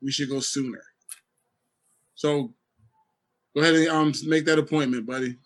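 Dude on a podcast, a bit high pitched, casual teasing banter. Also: male voice, steady tone, normal speed. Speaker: podcast co-host.

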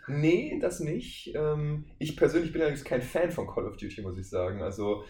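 A man's voice: on the high side, lively intonation, normal speed, mellow. A man speaking as a podcast guest and voice actor, explaining his work in an informal, conversational way. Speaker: guest, voice actor and audio drama maker. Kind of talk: casual podcast interview. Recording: echoey room, faint background noise.

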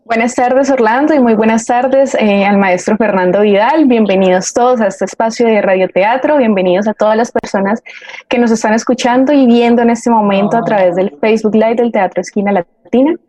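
A woman's voice: on the high side, brisk, dark tone, dry recording. A woman with a Colombian accent co-hosting a live programme, giving greetings and reading out audience messages. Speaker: co-host reading audience comments.

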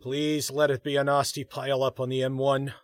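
A man playing a cocky, defensive political staffer in a comedy drama, excitable and indignant. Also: mid-range voice, normal speed, lightly acted. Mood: exasperated. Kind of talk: fast comedy audio drama dialogue.

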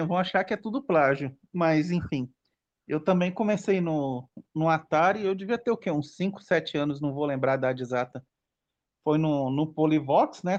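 A man's voice: fairly high pitch, a little mellow, lo-fi sound. A man in his late thirties from Brazil, chatting casually on a podcast and rambling through a nostalgic list of old games. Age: 30s. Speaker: podcast participant. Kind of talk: casual chat.

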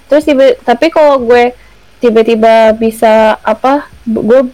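A woman's voice: fairly high pitch, unhurried, warm tone, no room sound. A young woman from Jakarta with a Jakarta accent, chatting casually and mixing in English phrases with lots of fillers. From Jakarta, Indonesia. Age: young adult. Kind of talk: casual chat.

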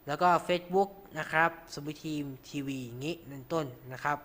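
A man's voice: a little high, moderate pace, fairly bright tone. A man hosting an informal tutorial, talking through each step with frequent polite particles. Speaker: radio show host.

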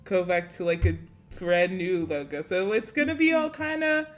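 A woman's voice: on the low side, moderate pace. A bubbly, giggly woman co-hosting a casual podcast chat, rambling freely. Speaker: podcast co-host.

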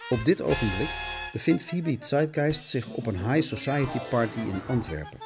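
A man's voice: mid-range voice, expressive, normal speed, music in the background, voice pushed up, lightly acted. A man playing an emphatic, announcer-like narrator of a pulpy comic-strip radio play. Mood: dramatic, announcing.

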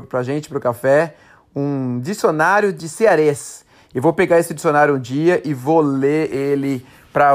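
Brazilian podcast host, thirties, male, speaks a bit high, loose and self-correcting. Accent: Brazilian Portuguese. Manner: chatty, rambling, self-correcting. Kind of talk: casual solo podcast talk.

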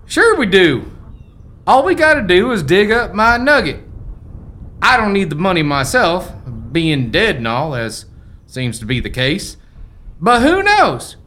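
An actor playing a folksy, forgetful old American rancher's ghost in a family radio play, with rustic old-timer phrasing. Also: male voice, sits high, huge pitch swings, a little slow, sharp tone, full character voice. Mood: reassuring. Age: older.

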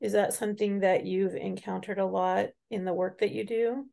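A woman hosting an interview, speaking in a measured, reflective, deliberate way. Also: medium pitch, steady tone, vocal fry, mellow. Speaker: interview host. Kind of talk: interview conversation.

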